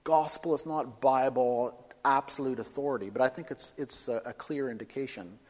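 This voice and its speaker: A man giving a public lecture and taking questions, speaking emphatically and building to loud, forceful peaks. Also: mid-range voice, normal speed. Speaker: speaker at a talk. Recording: reverberant hall.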